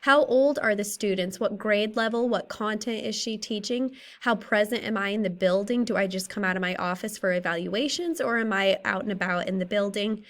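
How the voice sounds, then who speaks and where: A woman in her thirties with a slightly raspy, tired voice, methodically presenting a school case study for a class. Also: medium pitch, moderate pace, few pauses. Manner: steady, methodical, works through a list of questions. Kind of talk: reading a prepared class presentation.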